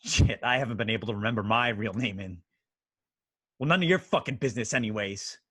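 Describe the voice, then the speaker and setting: A man in a staged play reading, playing a brash, foul-mouthed, cocky character with a raspy voice. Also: lowish voice, brisk, sharp tone, dry recording, clean studio sound. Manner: profane, chatty, abrasive.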